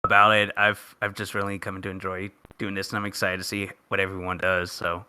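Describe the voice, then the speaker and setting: Male podcast guest, smooth clear tone, close dry sound, earnest conversational delivery. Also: sits low, monotone, brisk, pushed and bright, no echo. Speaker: podcast guest, fandub participant. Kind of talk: casual chat.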